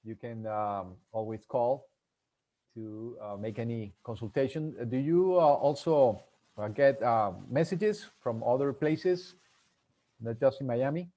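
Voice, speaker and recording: Male talk-show interviewer, medium pitch, conversational, hesitant and halting in his phrasing. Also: mellow, muffled recording. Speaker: interview host.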